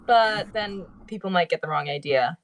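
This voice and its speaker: A woman's voice: lowish voice, moderate pace, creaky, crowd noise. A woman chatting and joking casually as a cast member of a table read.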